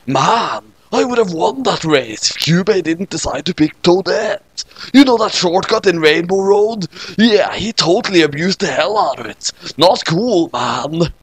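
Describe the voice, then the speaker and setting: Brief: easygoing young gamer dude, acted by a man, griping casually, lively pitch, comic story. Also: unhurried, husky, no echo, heavily acted. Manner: casual, complaining. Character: laid-back, griping young male gamer.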